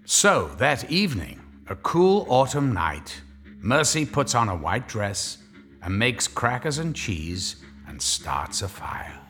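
A man narrating a horror story in a measured, deliberate, dramatic storytelling style. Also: medium pitch, very animated, fairly bright tone, soft background music.

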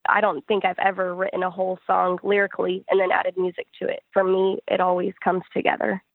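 A woman speaks as an interviewed musician, telling things in an earnest, reflective way. Her pitch sits in the middle for a woman and moves very little, and the recording is dry and close. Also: monotone, a little fast, creaky, no echo, phone-quality sound. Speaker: interviewed singer-songwriter. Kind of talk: conversational interview answers.

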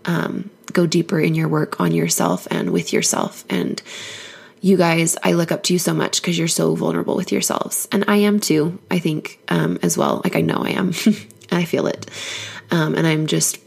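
A woman hoarse from a cold, giving an earnest, encouraging solo podcast talk as a life coach. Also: lowish voice, normal speed, darkish tone.

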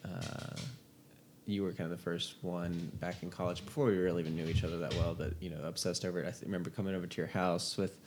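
A man's voice: low-pitched, level tone, fast, background noise. A young man hosting a podcast from home, chatting hesitantly about music with lots of fillers. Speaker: podcast host. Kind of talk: casual music chat.

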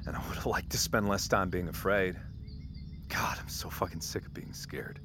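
A man's voice: low-pitched, lively intonation, normal speed, vocal fry, background noise. An actor playing a scared, earnest young man who refuses to give up, in an emotional, pleading audio drama style. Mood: weary, sincere. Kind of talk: naturalistic audio drama acting.

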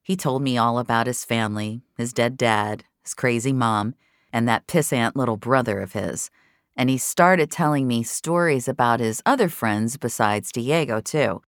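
Female narrator for audio fiction, very low register, confessional first-person delivery, close dry recording. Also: normal speed, no room sound.

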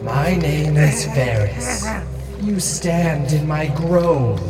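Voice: mid-range voice, animated, very slow. An actor playing a huge male antlered forest spirit in a fantasy audio drama, solemn and imperious. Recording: distant sound, music behind.

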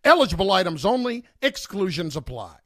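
A man with a high voice and lively, swinging intonation. He delivers a scripted advertisement as a commercial announcer. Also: a little fast, no echo, studio quality. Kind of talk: scripted car-parts advertisement read.

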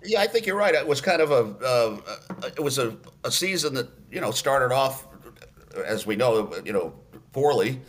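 An older man, a radio play-by-play announcer, telling stories in a relaxed interview with many fillers and restarts. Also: medium pitch, normal speed.

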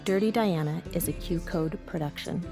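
Low-pitched woman reading credits over music. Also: normal speed, creaky. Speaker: credits announcer. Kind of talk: scripted credits read.

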